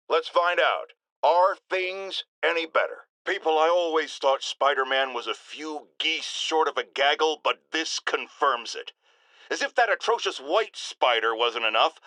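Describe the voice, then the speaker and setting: An actor playing a bombastic, paranoid middle-aged radio talk show host in a video game, ranting with indignant, theatrical emphasis. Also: male voice, a little high, pushed and bright, dry recording, heavily acted. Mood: alarmed ranting.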